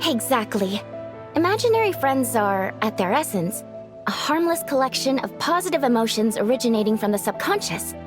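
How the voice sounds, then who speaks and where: A gentle, polite young yokai woman from a fantasy game. Her voice is high and very expressive, earnest and slightly hesitant as she speaks, over clear background music. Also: moderate pace. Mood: earnest explaining. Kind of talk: video game voice acting.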